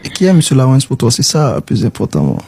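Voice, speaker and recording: A man's voice: medium pitch, huge pitch swings, fast talker, vocal fry, a little mellow, slight background noise. A man in an intimate casual conversation, speaking in an affectionate, coaxing way.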